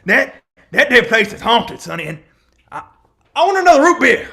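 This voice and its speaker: A superstitious old country fellow in a vintage radio drama. His voice is high and creaky, leaping around as he rambles, stumbles and repeats himself in rustic speech. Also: sing-song, normal speed, sharp tone, heavily acted. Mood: agitated, insistent. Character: folksy, excitable, superstitious old man, rural yarn-spinner.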